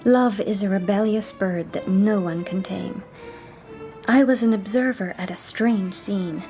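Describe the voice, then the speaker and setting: A woman playing a composed, sharp-witted young lady detective in a period radio drama, with measured, literate speech. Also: mid-range voice, faint music behind, natural voice. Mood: reflective.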